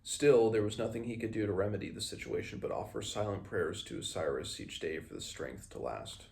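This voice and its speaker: A man hosting a read-along, reading a fantasy novel aloud and adding informal recap, measured in the reading and hesitant in his comments. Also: on the low side, expressive, gravelly, a little mellow, a little hiss or hum. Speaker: book read-along host.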